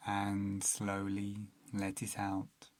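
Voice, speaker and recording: A man narrating a guided meditation in a calm, soothing, unhurried manner, leaving long pauses between phrases. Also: fairly low pitch, dry recording.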